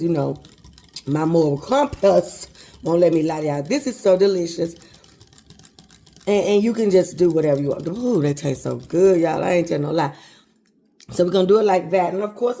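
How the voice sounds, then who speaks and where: A woman's voice: fairly low pitch, mellow. A woman, chatting folksily through a home cooking tutorial and calling her viewers baby and honey. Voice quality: hoarse voice. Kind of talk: casual cooking tutorial chat.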